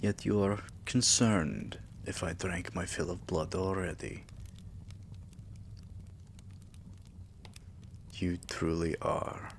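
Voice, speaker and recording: A man playing a tender, possessive vampire king in an intimate roleplay ASMR, speaking softly and breathily with courtly endearments and often dropping into a whisper. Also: low-pitched, fairly even intonation, unhurried, a little hiss or hum. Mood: adoring, soothing. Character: tender, possessive male vampire king doting on his human pet.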